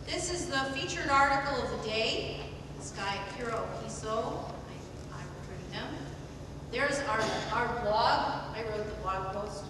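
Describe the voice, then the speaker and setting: A woman with a loud voice giving an informal lecture as a guest speaker, talking conversationally with frequent restarts. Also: on the high side. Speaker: guest lecturer, website editor. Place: college lecture room. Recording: echoey hall recorded from far off, noisy.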